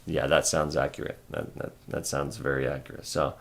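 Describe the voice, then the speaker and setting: Casual stream host, male, deep gravelly voice, halting and rambling, keyboard typing behind. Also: flat delivery. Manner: rambling, halting, repeats words, answers chat. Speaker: stock trading livestream host. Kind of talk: casual livestream chat.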